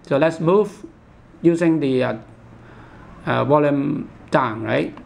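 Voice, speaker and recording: Man, high voice, gravelly fry, halting, repetitive, unscripted tutorial instruction. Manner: halting, repetitive, instructional, with imperfect English grammar. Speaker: product demonstrator. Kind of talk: unscripted step-by-step product tutorial.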